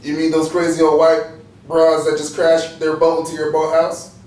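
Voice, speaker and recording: An actor playing a cheeky, foul-mouthed, rebellious household servant, loud and mocking, in a play reading. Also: male voice, fairly high pitch, completely flat delivery, moderate pace, lightly acted. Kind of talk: stage play table reading. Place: small indoor room, seated. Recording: echoey room, far from mic.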